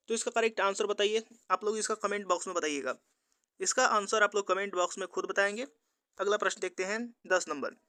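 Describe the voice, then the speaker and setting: Guy with a high clear voice doing exam quiz teaching, methodical, really dry sound. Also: pushed and bright, no room sound. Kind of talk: instructional quiz narration.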